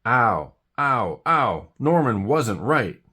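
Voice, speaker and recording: A man's voice: medium pitch, big pitch swings, very slow, pushed and bright, breathy edge, voice pushed up, full character voice. A man voicing a grumpy, sulking, exasperated monkey from a children's storybook, complaining and snappy. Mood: miserable.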